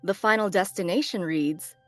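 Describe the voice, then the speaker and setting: A woman's voice: mid-range voice, normal speed, dry recording. A woman narrator reading screenplay stage directions as dramatic scripted narration. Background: faint background music.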